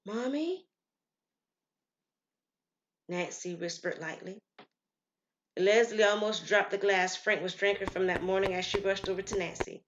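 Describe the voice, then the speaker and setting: A woman narrating a scripted story as storyteller, in a measured, expressive way. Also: medium pitch, a little hiss or hum. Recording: slightly muffled, lo-fi.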